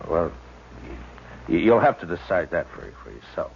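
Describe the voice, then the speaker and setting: An actor playing a terse, world-weary frontier marshal in a 1950s radio western. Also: male voice, low-pitched, very animated, moderate pace, creaky, a little hiss or hum, exaggerated voice. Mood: hesitant, grave. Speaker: lead actor and first-person narrator. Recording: dull vintage 1950s radio recording.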